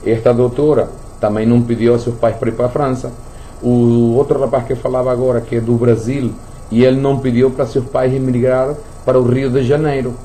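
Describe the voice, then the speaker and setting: A man's voice: medium pitch, little pitch movement, fairly quick, mellow, slight background noise, low-quality recording. A man hosting a radio talk show, speaking Portuguese with Spanish slips from growing up in Venezuela, repeating words and restarting as he thinks aloud.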